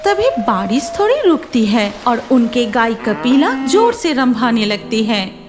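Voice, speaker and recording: Slow high-pitched lady narrator for a kids cartoon story, music going behind her. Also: sing-song.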